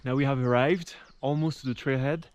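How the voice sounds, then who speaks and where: A man hosting a casual travel vlog, upbeat and quick, laughing often, recorded on an action camera. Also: mid-range voice, steady tone, dry recording. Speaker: vlog host.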